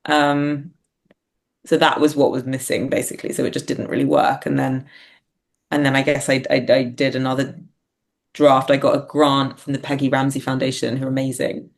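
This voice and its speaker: A woman's voice: extremely low pitch, flat delivery, moderate pace, gravelly. A woman talking casually and reflectively as an interview guest, hesitant and self-deprecating as she thinks aloud. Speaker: podcast guest, writer and performer.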